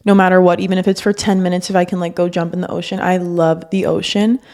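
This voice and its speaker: A woman's voice: lowish voice, little pitch movement, fast, a little mellow. A young woman whose first language is Spanish, co-hosting a podcast in informal, candid conversation. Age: young adult. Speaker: podcast co-host. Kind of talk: casual podcast chat.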